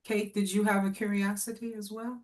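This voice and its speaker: A woman facilitating a group discussion, who asks measured, guiding questions. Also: medium pitch, flat delivery.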